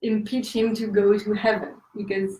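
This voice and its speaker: A woman's voice: mid-range voice, little pitch movement, normal speed, dark tone, distant mic. A woman with a French accent, answering interview questions as an author, hesitant with many fillers and laughing asides. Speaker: author guest. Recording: roomy echo, off-mic.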